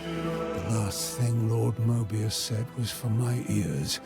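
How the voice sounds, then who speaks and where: An actor playing a haughty, scheming ancient vampire in a gothic video game, speaking in a grandiloquent, disdainful and deliberate way. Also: male voice, on the low side, steady tone, music in the background. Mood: contemptuous, menacing.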